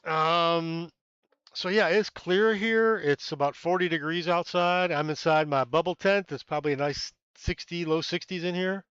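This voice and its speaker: A man's voice: a little high, normal speed, a bit bright, smooth, no echo, dull audio. A man hosting a casual amateur astronomy live stream from inside a small tent, chatting with viewers, thanking them by name, rambling with many fillers, and a little stuffy-nosed.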